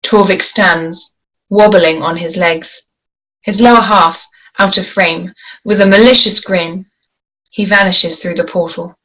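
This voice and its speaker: A woman reading stage directions aloud at a script table read, in a deliberate, measured way. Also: on the low side, steady tone, fairly bright tone, poor audio.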